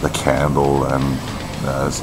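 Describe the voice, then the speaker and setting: A man in his thirties chatting casually in a shop, halting with many fillers, and slightly hoarse from a cough. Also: very deep voice, completely flat delivery, very noisy. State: has a cough. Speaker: vlogger. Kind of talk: casual chat. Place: indoors in a large shop.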